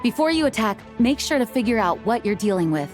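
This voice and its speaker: An actress playing an authoritative, dutiful knight commander in a video game, with crisp, formal delivery. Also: female voice, on the high side, big pitch swings, fast, faint music behind. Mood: firm briefing.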